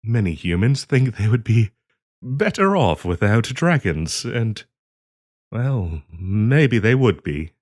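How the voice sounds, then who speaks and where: Man playing half-dragon broker and diplomat for fantasy roleplay audio: lowish voice, very animated pitch, polite, earnest, hesitant. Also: exaggerated voice. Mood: grave, somber. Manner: hesitant, polite, halting with frequent ums and soft chuckles. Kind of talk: roleplay audio acting, addressing the listener.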